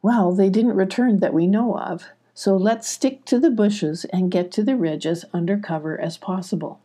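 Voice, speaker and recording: Woman voicing a mellow, practical big dog. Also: medium pitch, normal speed, natural voice. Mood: practical, calm. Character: big floppy-eared male dog, practical, loyal explorer.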